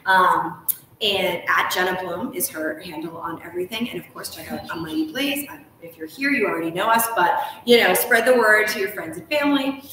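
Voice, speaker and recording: Woman, 30s, live show host. Bright, forward voice, effusive delivery, very reverberant lounge. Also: fairly low pitch. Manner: effusive, gushing. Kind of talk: enthusiastic live event hosting. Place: large hotel lounge, indoors. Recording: echoey room, faint noise.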